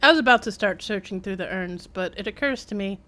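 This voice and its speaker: A woman's voice: medium pitch, brisk, pushed and bright. A woman with a mid-range voice comments casually on the game she is streaming. She rambles in a chatty way and adds wry remarks as she goes. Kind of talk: casual live gameplay commentary.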